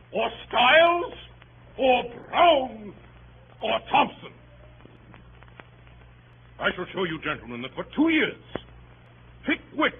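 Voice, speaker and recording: Pompous barrister: high voice, highly animated grandiose oratory, vintage radio drama sound. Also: male voice, heavily acted. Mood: indignant, scornful. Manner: theatrical, grandiose, emphatic oratory with dramatic pauses. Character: pompous, melodramatic male barrister addressing a jury. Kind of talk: 1930s radio drama courtroom oration. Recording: dull, noisy 1930s radio broadcast.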